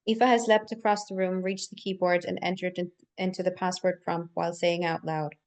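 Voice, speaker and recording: A woman's voice: lowish voice, flat delivery, normal speed, a little mellow. A woman narrating screenplay directions in a live table read, occasionally stumbling and repeating words as she reads. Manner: stumbles and repeats words while reading aloud.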